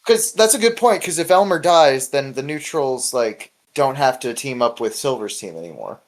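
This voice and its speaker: A man's voice: medium pitch, lively intonation, moderate pace. A man hosting a casual podcast, talking in a loose, rambling way with chuckles. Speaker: podcast host.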